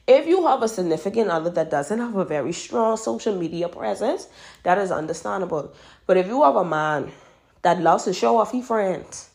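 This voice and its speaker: A woman's voice: medium pitch, expressive, moderate pace, creaky, darkish tone. A Bahamian woman in her twenties with a Bahamian accent gives emphatic dating advice straight to her listeners and repeats words for stress. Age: 20s. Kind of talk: casual advice monologue.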